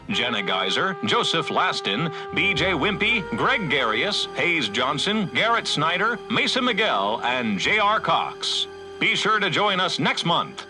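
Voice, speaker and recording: An actor playing a showy announcer in the style of old-time radio drama. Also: male voice, on the high side, poor audio.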